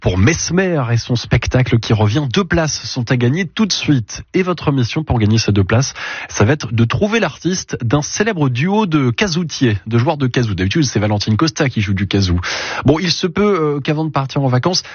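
A man's voice: medium pitch, very animated, normal speed, breathy edge, no room sound. A man presenting a morning radio show, reading listener messages and running a contest in a chatty, upbeat way. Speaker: radio host. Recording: lo-fi radio broadcast.

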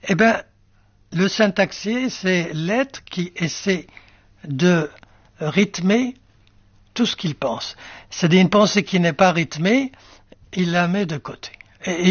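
Older guy, arabic is his first language, gravelly voice, lots of euh, dry dull recording. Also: high-pitched, no room sound. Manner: halting, many fillers and restarts, musing. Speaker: writer interviewed. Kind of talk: reflective interview answers.

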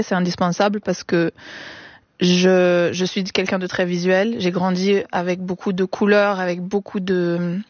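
Hesitant woman singer, lowish voice. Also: dry recording. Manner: hesitant, many restarts, mixes in English words. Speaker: singer and guest. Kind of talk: radio interview. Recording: dull, dry studio sound.

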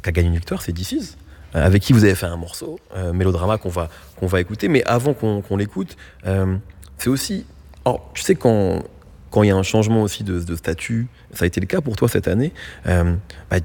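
A man's voice: low voice, creaky, darkish tone, breathy edge. A man hosting a radio interview, asking long, rambling questions full of fillers. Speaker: radio host.

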